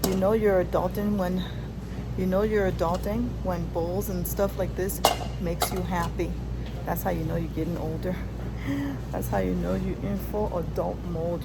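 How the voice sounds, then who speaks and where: A woman from Liberia chatting casually to her viewers while shopping in a store, often calling them "y'all". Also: lowish voice. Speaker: family vlogger. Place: inside a large store. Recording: noisy store background.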